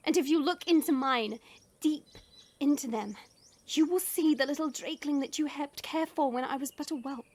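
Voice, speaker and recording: A woman's voice: high voice, moderate pace, a little mellow, close to an everyday voice. A woman playing a proud, determined dragon princess cursed into human form in a fantasy audio drama, earnest and regal. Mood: pleading, solemn. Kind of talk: fantasy audio roleplay acting.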